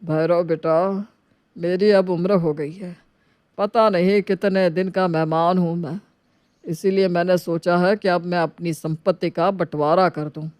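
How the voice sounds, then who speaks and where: A woman's voice: fairly low pitch, steady tone, a little slow, a little mellow, no echo, close to an everyday voice. A woman voicing an elderly father in a moral story, hurt and remorseful, pleading with emotion. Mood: firm.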